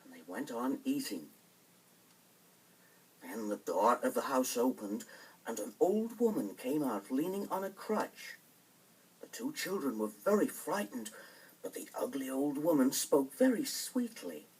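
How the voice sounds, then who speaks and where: Male bedtime storyteller: lively, swooping delivery, slightly husky, on an old vinyl record with music. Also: medium pitch, a little slow, far from the mic, rough recording. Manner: dramatic, expressive. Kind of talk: scripted children's bedtime story narration.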